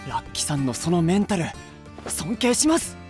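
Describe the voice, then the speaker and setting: An easygoing, respectful young vocalist in an anime voice drama. His youthful, casually polite delivery is expressive, over soft background music. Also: sits high, moderate pace. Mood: admiring. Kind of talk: Japanese anime voice drama acting.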